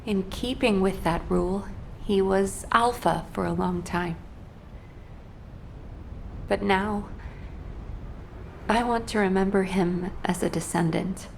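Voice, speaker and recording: A woman acting a grieving, composed officer in a video game, speaking sincerely and quietly. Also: mid-range voice, slow pace, gravelly, long pauses, a little hiss or hum. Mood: solemn, mournful.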